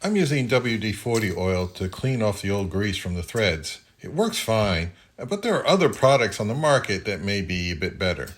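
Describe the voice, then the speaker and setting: A man narrating a casual hands-on how-to, unhurried and thinking aloud as he works. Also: lowish voice, big pitch swings. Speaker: repair tutorial presenter.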